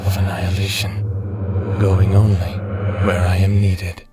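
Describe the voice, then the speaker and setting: A sly ancient demon played by a man, low-voiced and very slow. He speaks deliberately and cryptically, a menacing tempter from a fantasy audio drama, with music behind him. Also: flat delivery, dark tone, breathy edge. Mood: sly, tempting. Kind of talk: fantasy audio roleplay acting.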